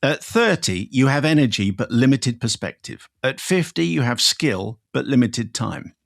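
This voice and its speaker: Man, co-host, dry, teasing, gravelly. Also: mid-range voice, animated, moderate pace. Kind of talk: casual podcast chat.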